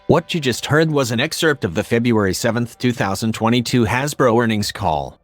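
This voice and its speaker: A synthetic text-to-speech male voice reading scripted commentary as a video host, in a flat, measured way. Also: mid-range voice, dry recording, soft background music. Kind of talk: scripted narration.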